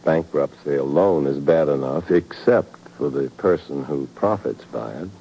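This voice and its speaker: A man's voice: very deep voice, unhurried, warm tone. An actor playing a cool, courteous male investigator with an understated, composed manner in 1950s radio drama style. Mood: composed, probing. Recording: muffled, noisy old radio recording.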